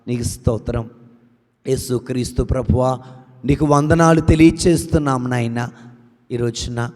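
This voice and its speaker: A man's voice: mid-range voice, darkish tone. A man leading an intercessory prayer, reciting long lists in a rising and falling cadence. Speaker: prayer leader. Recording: long hall reverb.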